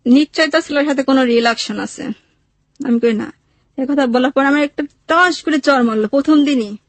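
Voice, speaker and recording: A woman's voice: high-pitched, normal speed, no room sound. A woman with a Bangladeshi accent recounts her own life as an interview guest, in plain colloquial speech, quoting dialogue as she goes. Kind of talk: personal storytelling, recounting with quoted dialogue.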